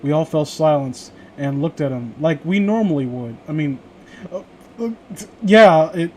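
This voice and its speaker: A man's voice: on the high side, expressive, moderate pace, a little mellow, a little hiss or hum. A man hosting a casual comedic read-along, rambling and sarcastic with crude asides. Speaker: host reading horror stories aloud.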